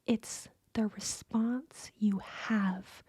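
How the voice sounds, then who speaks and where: A woman co-hosting a podcast in a soft, breathy ASMR-style whisper, chatting close to the microphone. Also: mid-range voice, fairly even intonation, drawn-out words, no room sound. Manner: whispering and speaking softly. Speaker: podcast co-host.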